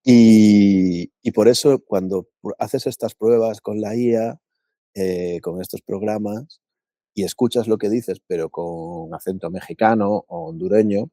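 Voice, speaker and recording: A man from Paraguay co-hosting a podcast, thinking aloud in a relaxed, reflective chat with frequent restarts. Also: lowish voice, unhurried, dry recording, clean studio sound. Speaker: podcast co-host. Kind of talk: casual reflective conversation.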